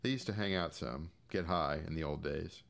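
A man's voice: rumbling low, moderate pace, creaky, fairly bright tone. An American man giving a scripted, audiobook-style narration of short fiction. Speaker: short story reader.

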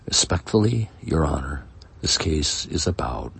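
Man acting an insistent, gravelly courtroom prosecutor. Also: extremely low pitch, big pitch swings, measured pace, a bit bright, full character voice. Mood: earnest. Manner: emphatic, formal. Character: earnest, insistent male prosecutor pressing a witness.

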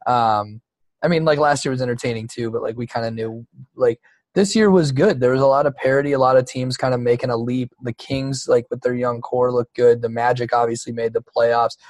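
A man in his middle range of pitch, talking basketball in a loose, rambling way and swearing freely. The sound is close and dry, with no room around it. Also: fairly even intonation, a little fast, darkish tone, dry recording, rough recording. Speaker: podcast co-host. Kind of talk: casual sports chat.

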